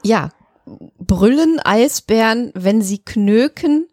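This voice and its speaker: A woman's voice: fairly high pitch, expressive, takes it slowly, darkish tone, soft background music. A woman explaining things in casual chat as a podcast co-host.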